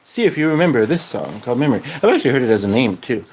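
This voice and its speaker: A man chatting casually at home between songs, rambling and trailing off in a soft voice. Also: medium pitch, big pitch swings, racing. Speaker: host and singer. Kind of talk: casual chat during a music session. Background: loud guitar and piano music.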